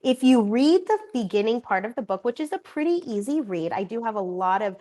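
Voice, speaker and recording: A woman's voice: fairly high pitch, expressive, fairly quick, mellow. A middle-aged woman, an author and acupuncturist whose first language was Korean, explaining her work in an earnest, casual way. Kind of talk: casual interview talk.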